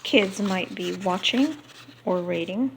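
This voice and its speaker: A woman reading a book aloud unrehearsed, halting here and there and slipping into casual asides. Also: mid-range voice, creaky, slight background noise, poor audio.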